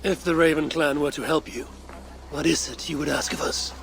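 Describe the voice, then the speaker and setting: A man playing a blunt, commanding Viking clan leader in a dramatic video game, with terse, measured delivery. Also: a little high, fairly even intonation, gravelly, fairly bright tone, slight background noise. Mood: guarded, skeptical.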